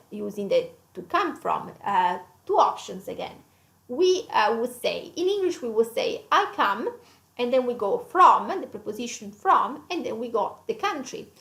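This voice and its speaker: A woman's voice: on the high side, lively intonation, moderate pace, creaky, fairly bright tone. A woman with an Italian accent teaching a language lesson conversationally, repeating phrases and breaking them down step by step in an echoey room.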